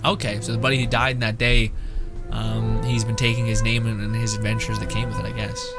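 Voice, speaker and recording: A man's voice: on the low side, flat delivery, brisk. A man streaming a game with casual, rambling commentary. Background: game music.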